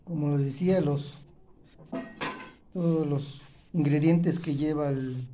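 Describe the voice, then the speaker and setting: Home cooking vlogger: man, very soft-toned and mellow, slightly high-pitched, halting casual explainer. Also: slight background noise. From Oaxaca, Mexico. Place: indoors at a home kitchen table.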